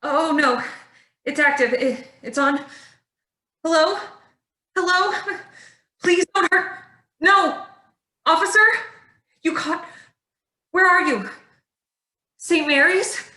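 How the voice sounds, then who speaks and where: A woman's voice: very high voice, takes it slowly, pauses often, bright, forceful tone, heavily acted. An actress playing an anxious, earnest woman vigilante in a staged play reading. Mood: panicked. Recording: echoey room.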